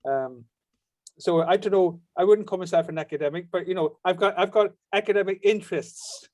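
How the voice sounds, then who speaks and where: A man's voice: high-pitched, a little fast, a little mellow. A man introducing himself in an online seminar, hesitant and giggly, with many "you know"s. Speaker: seminar participant. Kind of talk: self-introduction on a call. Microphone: video call.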